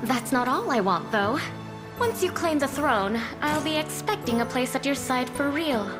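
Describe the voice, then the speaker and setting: High-voiced haughty young noblewoman. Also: lively intonation, normal speed. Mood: coy, confident. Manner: theatrical, imperious, coquettish. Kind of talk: video game cutscene acting. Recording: loud game music behind. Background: game music.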